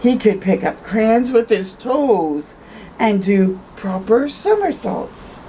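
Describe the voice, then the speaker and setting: Female storytime reader: unhurried, mellow, expressive children's read-aloud with chatty asides, some background noise. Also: medium pitch. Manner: conversational asides, tags questions with "eh". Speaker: storytime host reading aloud.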